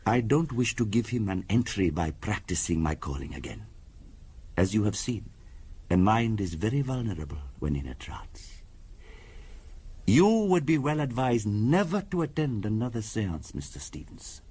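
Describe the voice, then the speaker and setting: An actor playing a weary, cautious spirit medium in a 1970s radio drama, speaking in a formal, measured, grave way. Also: male voice, fairly low pitch, sing-song, darkish tone, slightly rough. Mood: weary, warning.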